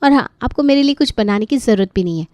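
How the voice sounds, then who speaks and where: A woman's voice: on the high side, expressive, quick pace, darkish tone, no room sound, lightly acted. A woman voicing a sassy, self-assured young daughter-in-law in a story, with a breezy, curt manner. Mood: breezy defiance.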